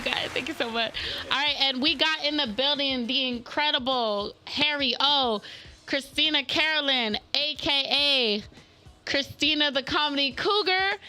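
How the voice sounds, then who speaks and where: A woman hosts a casual radio chat and teases her guests with quick jabs. Her voice is bright and sits a little high. Faint music runs behind her. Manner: playful, teasing, quick interjections. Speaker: radio show host.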